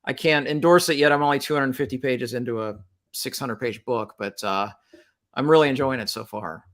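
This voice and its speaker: A man's voice: medium pitch, a little fast, a bit bright. A man, a music teacher guesting on a book chat, talking in a reflective way with small restarts.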